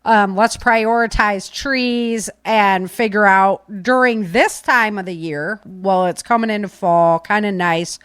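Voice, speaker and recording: A woman's voice: mid-range voice, unhurried, hardly any pauses, fairly bright tone, clean tone, no echo. A woman hosting a podcast, chatting casually and giving practical tips in an informal, folksy, rambling way. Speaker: podcast host.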